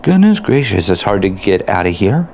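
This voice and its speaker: Low-voiced man rambling over gameplay. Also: very animated, moderate pace, darkish tone, lo-fi sound. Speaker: gaming video host and reviewer. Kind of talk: casual game playthrough commentary. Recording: faint background game noise. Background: video game ambience.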